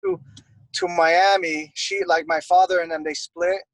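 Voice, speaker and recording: A young man from Costa Rica chats easily as an interview guest, rambling with many fillers. His voice sits a little high for a man. The sound is dry and close. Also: fairly even intonation, measured pace, no echo, rough recording. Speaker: guest, airbrush artist. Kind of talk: casual interview chat.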